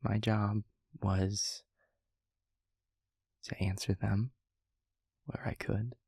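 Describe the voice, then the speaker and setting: A man playing a gentle, reflective demon mentor in an intimate audio roleplay, speaking softly and haltingly in a low, breathy, gravelly voice. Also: steady tone, no echo, full character voice. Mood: tender, sincere. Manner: halting, intimate, soft-spoken with long pauses. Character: gentle reflective male demon steward, fondly confiding.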